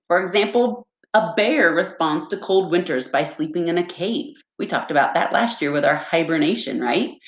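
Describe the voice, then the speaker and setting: A woman with a low-pitched voice reads aloud as an elementary school teacher would. She addresses young children directly and explains things in an instructional way. The sound is echoey, as in a bare room.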